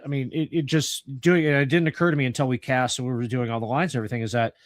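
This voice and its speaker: A man's voice: medium pitch, fairly even intonation, racing, no echo, clean studio sound. A man chatting casually in a group, explaining things in an informal, meandering way.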